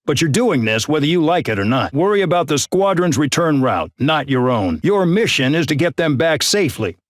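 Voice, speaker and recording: An actor playing a harsh middle-aged colonel who commands a penal squadron, barking orders and threats with open contempt in a video game. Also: male voice, a little high, big pitch swings, moderate pace, no echo, clean studio sound. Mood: contemptuous. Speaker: commanding officer giving mission briefings.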